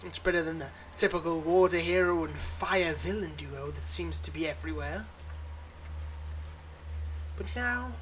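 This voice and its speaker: A man's voice: a little high, a bit bright, slight background noise, low-quality recording. A man playing a weary, wry supervillain with a secretly kind side, talking conversationally and a little hesitantly in an intimate roleplay audio monologue.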